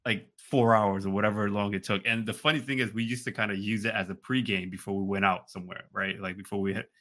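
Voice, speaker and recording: A man co-hosting a podcast, telling stories casually in an animated way and repeating himself for emphasis. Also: lowish voice, fast talker. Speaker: podcast co-host. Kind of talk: casual chat, storytelling.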